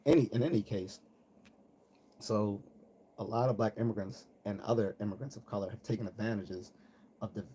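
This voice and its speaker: A man's voice: on the low side, normal speed, long pauses, mellow. An American man hosting a live stream, giving a casual, blunt, conversational monologue with some slang. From United States. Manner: blunt, conversational, slangy, mimics people he quotes. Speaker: host of a live commentary stream. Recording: noticeable background noise.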